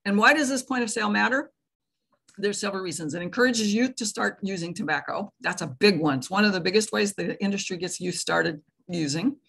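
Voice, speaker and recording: A hoarse woman gives an explanatory presentation over a video call. Her voice is gravelly and husky, and she talks conversationally with restarts. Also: medium pitch, a little fast, a bit bright. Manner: conversational and explanatory, with fillers and self-corrections. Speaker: tobacco prevention director presenting. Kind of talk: presentation at an online meeting.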